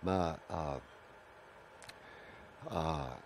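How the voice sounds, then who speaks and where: An older man with an Italian accent who is a native Italian speaker, answering interview questions in halting Spanish, searching for words and repeating phrases. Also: rumbling low, slight background noise. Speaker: guest, Watsu originator.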